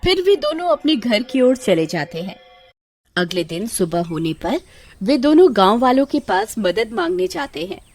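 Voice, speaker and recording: A woman narrates a scripted horror story for a cartoon. Her delivery is dramatic and very expressive in pitch, on the higher side, with faint music behind her. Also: moderate pace, gravelly.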